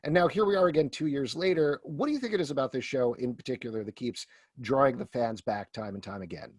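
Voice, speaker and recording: Fast-talking man moderating, close dry sound. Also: mid-range voice, dry recording. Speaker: moderator, interviewer. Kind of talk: casual event hosting and interviewing.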